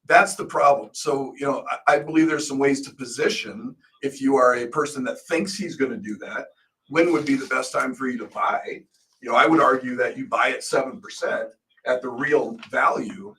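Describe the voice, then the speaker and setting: A man's voice: medium pitch, moderate pace, sharp tone, far from the mic, a little hiss or hum, rough recording. A middle-aged American man co-hosting a talk show, explaining things casually, often posing questions and answering them himself. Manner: explains with hypothetical examples and self-answered questions. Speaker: radio show co-host.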